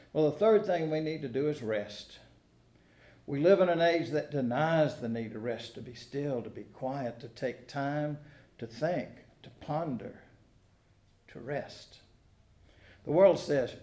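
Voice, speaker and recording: An American man preaching a sermon in a folksy, conversational way, pausing deliberately between phrases. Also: fairly high pitch, dark tone. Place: church sanctuary during a service. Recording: echoey room sound.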